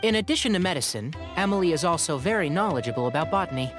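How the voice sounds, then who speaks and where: A woman's voice: fairly low pitch, lively intonation, very fast, lightly acted. A woman voicing an earnest, caring young woman in a video game who patiently explains things. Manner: warm-hearted, earnest, gently patient. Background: game music.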